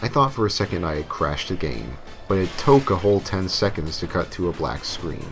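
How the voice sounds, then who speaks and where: A man with a low voice narrates a tongue-in-cheek creepypasta. He reads theatrically, leaning into emphasis, with music playing behind him. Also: sing-song, brisk. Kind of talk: scripted comedic horror story narration over music.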